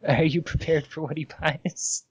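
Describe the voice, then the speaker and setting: A man's voice: a little high, flat delivery, unhurried, a little mellow, husky, dry recording, highs cut off. A man co-hosting a podcast, casually reading comic fan fiction aloud with amusement.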